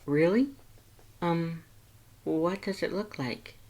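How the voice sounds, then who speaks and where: A man's voice: fairly high pitch, takes it slowly, a little mellow, pitched above the performer's own voice. A man voicing a curious, hesitant, good-humoured young person with a questioning manner, in a storybook reading. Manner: questioning, tentative.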